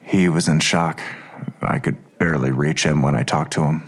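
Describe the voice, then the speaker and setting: Tired cop dad in a podcast drama, really deep rough voice, short guarded answers. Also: male voice, fairly even intonation, moderate pace, full character voice. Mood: somber, shaken. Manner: terse, somber, guarded. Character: weary, guarded male police detective and worried father. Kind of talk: audio drama acting.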